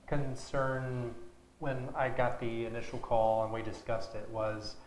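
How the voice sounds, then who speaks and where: With room echo and a faint noise behind him, a man of medium pitch talks casually in an interview. He explains things in a plain, slightly halting way. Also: completely flat delivery. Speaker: theater director being interviewed.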